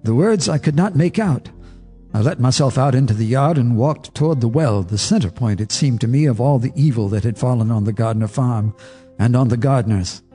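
An actor as a haunted neighbor recounting a horror, his voice mid-pitched and grave, the telling measured and deliberate. Faint music hums beneath him in the radio play. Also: dark tone, husky, close to an everyday voice. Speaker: narrator. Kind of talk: radio drama narration.

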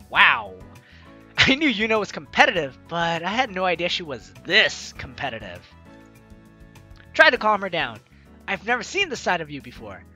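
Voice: mid-range voice, pushed and bright. A let's play host reading visual novel lines aloud and reacting in an exclaiming, giggly way. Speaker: gaming video host. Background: game music.

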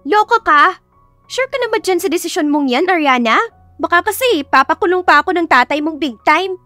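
A woman's voice: very high-pitched, lively intonation, a little fast, pushed and bright, no room sound, faint music behind, voice pushed up, full character voice. A woman voicing a sassy, blunt young best friend who teases and scolds in an exclamatory way in an audio story. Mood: alarmed, scolding.

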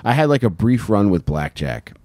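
A man's voice: on the low side, sing-song, normal speed, darkish tone, no room sound. An American man co-hosting a casual comedy podcast, swearing and joking crudely into a close handheld mic. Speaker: podcast co-host. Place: small wood-panelled room, indoors. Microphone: handheld microphone held close.

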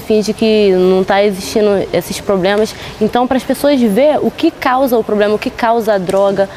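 Brazilian woman, 18, young dancer, earnest halting talk, lapel mic, faint background hiss. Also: on the high side, a little mellow, rough recording. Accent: Brazilian Portuguese. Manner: earnest, pausing often. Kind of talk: documentary interview. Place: indoors by a mural and tires.

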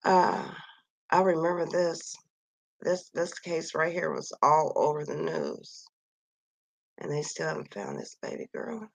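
A woman with a low, gravelly voice that sounds like it is going hoarse talks slowly and softly. She reads from a list and muses aloud into a computer mic, sighing as she goes. The sound is dry and close, with no room in it. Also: fairly even intonation, no echo, low-quality recording. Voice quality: gravelly, slightly husky voice. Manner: slow, soft-spoken, sighing and musing aloud. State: losing her voice. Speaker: vlogger reading a missing persons list. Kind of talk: casual screen-share commentary. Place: at home near Kansas City.

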